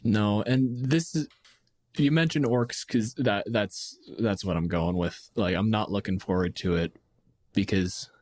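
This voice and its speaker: Male podcast co-host, fairly low voice, close dry sound, blunt and emphatic casual talk. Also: a little slow, a little mellow, no echo. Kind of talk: casual opinionated tabletop gaming chat.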